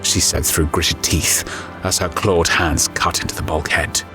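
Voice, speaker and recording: A man narrating a dark science fiction story in a scripted, dramatic, measured and ominous style. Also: deep voice, steady tone. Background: music.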